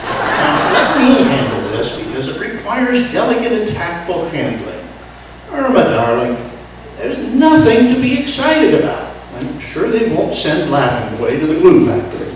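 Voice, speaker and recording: A pompous, wisecracking maestro in an old-time radio comedy. His voice is very high and swoops about theatrically. The recording is roomy, distant and noisy. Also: wildly swooping pitch, a little slow, heavily acted. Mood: soothing, flustered. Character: excitable, wisecracking, self-important old maestro. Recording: echoey room, distant mic, noisy.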